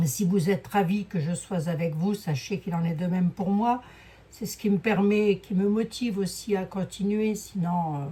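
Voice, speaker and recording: A woman with a hoarse voice giving a casual, rambling card reading, full of fillers and asides to her viewers. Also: medium pitch, warm tone. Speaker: clairvoyant doing a card reading. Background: fan noise.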